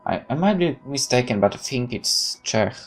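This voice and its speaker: A man's voice: mid-range voice, animated, normal speed, soft background music. A man reading a visual novel aloud as a let's play host, with informal muttered asides and interruptions to himself.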